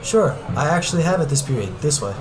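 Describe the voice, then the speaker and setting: A man's voice: a little high, big pitch swings, fast talker, over music. A man playing a friendly, helpful teenage schoolboy in an amateur radio play, talking casually.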